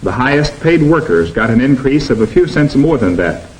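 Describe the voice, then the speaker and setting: A man delivers 1950s documentary narration. His pitch rises and falls expressively as he stresses each item in a list, and his delivery is measured and emphatic. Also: sing-song, darkish tone, a little hiss or hum, low-quality recording.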